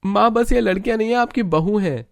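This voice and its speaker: A man's voice: high-pitched, brisk, creaky, voice pushed up. A man voicing an eager young newlywed son in a cartoon story.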